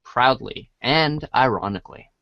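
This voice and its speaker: Guy reading a storybook style comic out loud, trips over words, casual, dull dry recording. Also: mid-range voice, animated, a little slow, gravelly, no echo. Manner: informal, stumbles while reading. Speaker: narrator. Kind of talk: read-along storybook narration of a comic. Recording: dry, dull band-limited audio.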